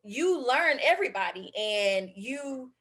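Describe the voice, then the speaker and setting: A woman hosts a relaxed interview, her voice sitting somewhat high. She chats easily, affirming her guest and reminiscing about home. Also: slow pace. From Mississippi. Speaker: interview host. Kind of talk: casual interview conversation.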